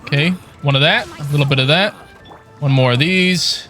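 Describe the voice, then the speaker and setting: A man's voice: a little high, moderate pace, sharp tone. A young man giving casual, joking live commentary on a game in a flippant way. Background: game music.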